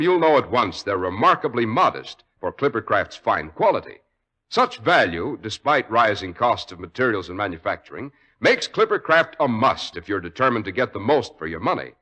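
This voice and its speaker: A man's voice: medium pitch, sharp tone, husky. A man with an American accent announcing and hosting in 1940s radio style, with a polished, salesmanlike manner.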